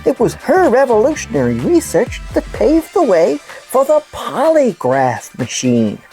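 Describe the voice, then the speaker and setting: Kids' news puppet host , a woman performing: affectionate, earnest storyteller, mellow slightly husky tone, swooping intonation. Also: on the high side, huge pitch swings, unhurried, soft background music, heavily acted. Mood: informative. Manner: clear storytelling, explains simply. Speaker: host of children's news show. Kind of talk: scripted presenting for children.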